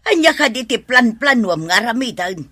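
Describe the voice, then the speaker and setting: A woman's voice: a little high, big pitch swings, normal speed, bright, forceful tone, dry recording, exaggerated voice. A woman with an Ilocano accent acting as a nosy, skeptical middle-aged mother in a radio drama. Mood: concerned.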